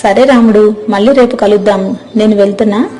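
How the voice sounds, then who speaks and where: A woman's voice: mid-range voice, fairly even intonation, fairly quick, dark tone, faint music behind, natural voice. A woman playing a friendly, earnest young mermaid who admires her friend in an acted moral story. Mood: shy, chatty.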